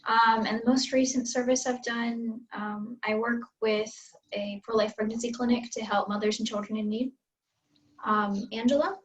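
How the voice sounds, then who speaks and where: A young woman from Florida with a fairly high voice introduces herself in a friendly, slightly hesitant way. She sits a bit back from the microphone. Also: steady tone, moderate pace, recorded from a distance, rough recording. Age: young adult. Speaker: incoming college student.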